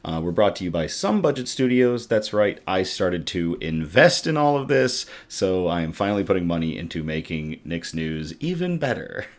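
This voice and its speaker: Low-voiced raspy man hosting a stream. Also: big pitch swings, normal speed, hardly any pauses. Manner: chatty and loose, with many ums and uhs, reading comments aloud. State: raspy, worn-out voice. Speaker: host of a good-news livestream show. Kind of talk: casual livestream chat. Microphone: desktop USB microphone.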